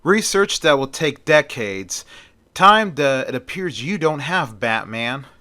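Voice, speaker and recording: A man voicing a cold, menacing scientist supervillain with a deliberate, formal manner as part of a story. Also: medium pitch, sing-song, pushed and bright, heavily acted. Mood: cold explaining. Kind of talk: amateur storytelling character voice.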